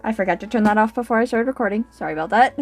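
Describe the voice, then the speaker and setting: Female streamer, animated and high-pitched, casual exclamatory reactions, faint music behind. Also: very fast. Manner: exclamatory, expressive. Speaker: video game streamer.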